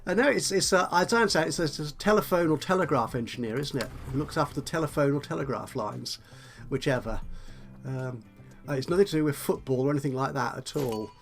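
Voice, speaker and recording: A man with a slightly croaky voice, chatting and thinking aloud while commentating on a management game. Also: a little high, fast, faint music behind. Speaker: let's play host. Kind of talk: casual gameplay commentary.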